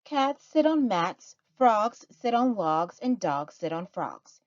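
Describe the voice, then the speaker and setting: A woman's voice: mid-range voice, big pitch swings, slow pace, no echo, lo-fi sound, pitched above the performer's own voice. Woman as a fussy, sing-song storybook cat. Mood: stern.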